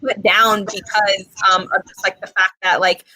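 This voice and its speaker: Earnest young woman, bright dry voice. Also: mid-range voice, little pitch movement, no room sound, poor audio. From Mexico, raised in the United States. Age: 20s. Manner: earnest, many "um" pauses. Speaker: guest poet. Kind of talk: casual interview chat.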